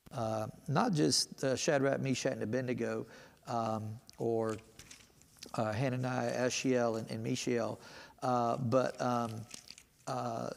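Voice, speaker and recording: Raspy, tired preacher, gravelly. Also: male voice, mid-range voice, darkish tone. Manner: halting, many "uh" fillers. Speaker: pastor. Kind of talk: casual Bible teaching sermon. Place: church sanctuary. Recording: reverberant church hall.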